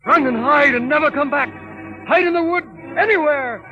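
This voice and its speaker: A gruff, torn huntsman. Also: very high voice, normal speed. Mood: urgent. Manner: gruff, pleading, urgent. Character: burly, conflicted royal huntsman, middle-aged. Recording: lo-fi old film soundtrack with music behind.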